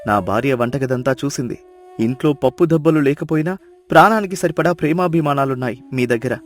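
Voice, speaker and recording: A man's voice: mid-range voice, fairly quick, natural voice. A man playing a well-off, emotional village brother-in-law in a dubbed moral-story cartoon, with earnest, emphatic delivery. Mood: pained, insistent. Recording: music behind the voice.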